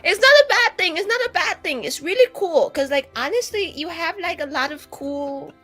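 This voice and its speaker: A woman's voice: extremely high pitch, expressive, normal speed, bright, forceful tone. A woman playing in a tabletop roleplaying game, improvising casual, rambling in-character dialogue. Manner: rambling and conversational, heavy use of like. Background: music.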